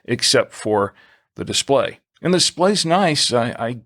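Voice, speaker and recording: A man's voice: medium pitch, lively intonation, unhurried, fairly bright tone. A man co-hosting a podcast, talking through a product in a conversational way, hesitating and repeating himself as he goes. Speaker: podcast co-host.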